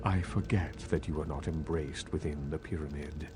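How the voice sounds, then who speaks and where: An actor playing a courteous, scholarly vampire regent in a video game, speaking formally with measured, old-fashioned politeness. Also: male voice, extremely low pitch, steady tone, background noise.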